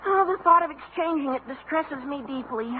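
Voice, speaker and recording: A man playing an earnest, mock-pompous teenage boy with a squeaky voice in a 1950s radio sitcom. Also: animated, normal speed, narrow, tinny audio. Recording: thin 1950 radio broadcast with hiss.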